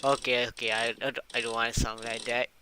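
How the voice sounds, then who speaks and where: A man's voice: medium pitch, monotone, fast talker. A man who is not a native English speaker, chatting casually as he live-streams a game on an uncovered microphone. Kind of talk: casual gameplay chat. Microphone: microphone without its cover. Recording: loud noise, uncovered mic with clicks.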